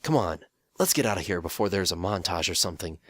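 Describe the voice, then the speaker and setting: A man's voice: low voice, expressive, fast talker, fairly bright tone, breathy edge, no echo, studio quality, heavily acted. A man voicing a practical, easygoing male handyman pony who talks in a casual, wry and matter-of-fact way.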